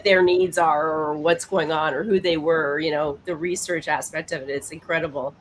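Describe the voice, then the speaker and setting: A woman's voice: sits low, steady tone, normal speed, a little hiss or hum. A woman in casual conversation as a podcast co-host and interviewer.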